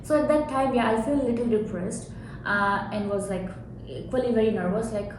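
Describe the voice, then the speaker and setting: A middle-aged woman from India, a college professor, answering interview questions in a loud, animated way and laughing often. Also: a little high, a little fast. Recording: echoey room with background noise.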